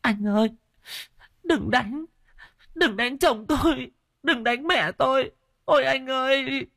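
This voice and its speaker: A shrill wailing young widow. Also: female voice, slow, a bit bright, no room sound, heavily acted. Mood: frantic crying. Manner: shrill, sobbing, frantic pleading.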